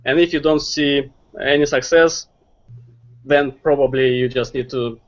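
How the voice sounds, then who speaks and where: Brief: Russian-accented male guest, mid pitch, earnest advice, muffled call audio. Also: fairly even intonation, measured pace. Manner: earnest, advice-giving, some grammar slips. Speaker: guest, SEO marketer. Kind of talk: online interview.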